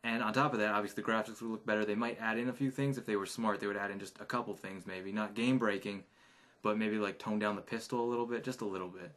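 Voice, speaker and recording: A man's voice: fairly low pitch, steady tone, fast, fairly bright tone. A man casually rambling his opinions on video games, chatty and offhand with many fillers. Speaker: gaming YouTuber.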